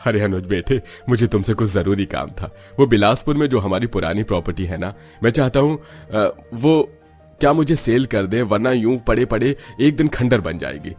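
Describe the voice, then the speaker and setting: पुरुष की आवाज़ में रौबदार अमीर बुज़ुर्ग कारोबारी. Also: male voice, lowish voice, animated, moderate pace, darkish tone, faint music behind, natural voice. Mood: businesslike. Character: wealthy businesslike older family patriarch.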